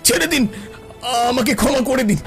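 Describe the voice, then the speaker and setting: A man's voice: extremely high pitch, a little fast, loud music behind the voice. A man voicing a cornered, cowardly village schemer, whining defensively in a folk-tale cartoon. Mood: frightened pleading. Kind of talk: dramatic Bengali folk-tale cartoon dialogue.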